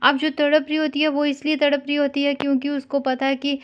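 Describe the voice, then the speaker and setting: A woman chatting casually on a call, consoling and gently scolding as she tells a simple story in a conversational way. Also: high-pitched, monotone, brisk. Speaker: caller consoling an older sister figure. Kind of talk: casual phone chat with storytelling. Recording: dull lo-fi call recording.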